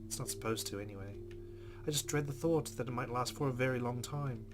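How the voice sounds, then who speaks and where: A male let's player reads a visual novel's narration aloud in a mid-pitched voice. His reading is measured and expressive, mixed with casual asides. Plucked guitar game music plays clearly behind him. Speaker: let's play host.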